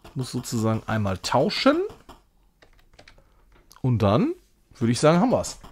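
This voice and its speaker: A man's voice: mid-range voice, wildly swooping pitch, moderate pace, slightly rough. A man doing casual, jokey live-stream commentary as he plays a game, chatty and self-ironic. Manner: jokey, chatty, self-ironic, slipping into mock-grand English.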